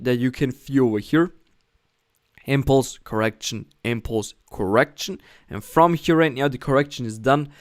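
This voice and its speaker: Man explaining forex charts live. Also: mid-range voice. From Germany. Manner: explanatory, repeats "okay?" often, non-native phrasing. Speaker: forex trading analyst, live stream host. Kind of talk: live trading analysis stream, reading chat.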